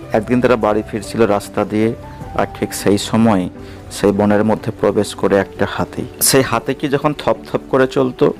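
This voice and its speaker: A man's voice: fairly low pitch, a little mellow. A man narrating a children's story as a scripted storyteller.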